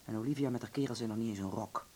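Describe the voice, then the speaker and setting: A man's voice: lowish voice, rapid-fire, dark tone. An actor in a radio drama playing a hard, unyielding man guarding his household, blunt, stern and clipped. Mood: stern, defensive. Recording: faintly clicky old radio recording.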